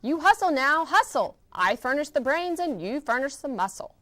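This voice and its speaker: A woman's voice: big pitch swings, normal speed, bright, forceful tone, exaggerated voice. A woman reading aloud and voicing a small, bossy, greedy male bug. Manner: bossy, shrill and gloating.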